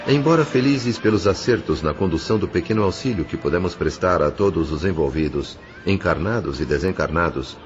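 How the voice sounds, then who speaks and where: A man with a Brazilian accent narrating an audio novel in a solemn, measured, literary manner. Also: sits low, animated, background noise. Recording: dull sound with music underneath. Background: background music.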